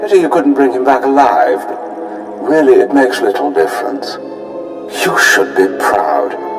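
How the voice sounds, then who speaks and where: A man's voice: medium pitch, big pitch swings, measured pace. A man acting a smug, genteel imperial commander in a video game, speaking with formal, suave assurance. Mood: smug satisfaction. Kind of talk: scripted video game dialogue. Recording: echoey transmission with music underneath. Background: game music.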